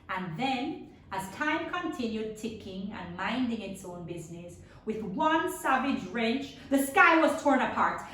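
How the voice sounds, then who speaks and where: A woman reading a children's story aloud theatrically, stressing and stretching words for her listeners. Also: high voice. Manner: theatrical, with emphatic drawn-out words and questions to listeners. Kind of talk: dramatic read-aloud story narration. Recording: echoey room, distant mic, faint noise.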